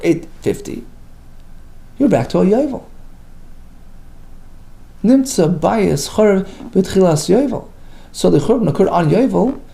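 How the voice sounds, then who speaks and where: Lively, gravelly man teaching Talmud. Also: sits high. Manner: reads Hebrew and Aramaic phrases then translates, lively and emphatic. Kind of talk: daily page lesson, reading and explaining.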